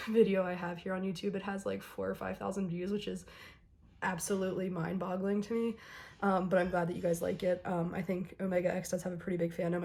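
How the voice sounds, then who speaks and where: A young woman with a hoarse voice, chatting casually to camera while unboxing albums, with many ums and bursts of excitement. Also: medium pitch. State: hoarse, recovering from illness. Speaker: K-pop album unboxing vlogger.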